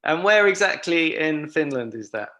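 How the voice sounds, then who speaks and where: A man's voice: a little high, lively intonation, moderate pace, pushed and bright. A man hosting a film festival panel over a video call, speaking in a friendly way with frequent fillers.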